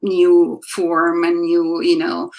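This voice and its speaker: A middle-aged woman from Egypt whose first language is Arabic, giving a halting artist talk over slides, full of fillers and restarts. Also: on the low side, monotone, gravelly, darkish tone, poor audio. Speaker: guest artist.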